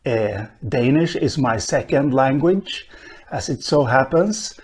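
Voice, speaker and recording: A middle-aged man from Sweden, a linguist lecturing at a whiteboard in a room with bookshelves, speaking in a measured way with frequent pauses. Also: medium pitch, expressive, darkish tone, husky. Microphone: camera at medium distance.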